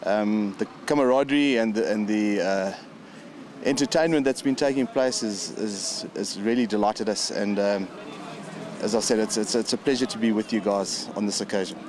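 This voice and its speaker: Man, lowish pitch, sponsor interview, halting, faint background noise. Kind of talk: semi-formal interview remarks.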